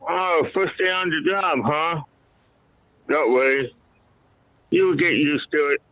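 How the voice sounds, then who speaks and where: Through a tinny, phone-like line, a man plays a jaded cleanup worker. He is cocky and knowing, with a fairly high male voice, radio-drama style. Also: takes it slowly, no room sound. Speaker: amateur actor in a community radio play. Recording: thin, phone-like remote connection.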